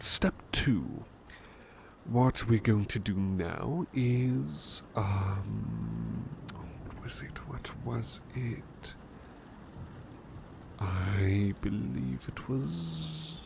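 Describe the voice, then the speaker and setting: A man playing a doting, cheeky butler in a gentle ASMR roleplay, repeating himself soothingly and often slipping toward a whisper. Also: mid-range voice, vocal fry, slight background noise. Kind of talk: ASMR personal attention roleplay.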